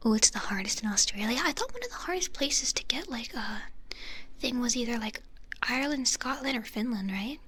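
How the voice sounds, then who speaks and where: A young woman from the United States, a VTuber whispering through an ASMR livestream, greeting her chat softly with little kiss sounds. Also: on the high side, expressive, brisk, a little hiss or hum. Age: young adult. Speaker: VTuber streamer.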